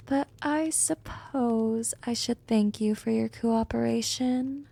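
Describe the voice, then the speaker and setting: A woman playing a smug, gently dominant female vampire in an intimate ASMR roleplay, speaking softly and often slipping into whispers, teasing and coaxing. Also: a little high, exaggerated voice. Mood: smug, seductive. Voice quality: soft, breathy, often dropping into whispers.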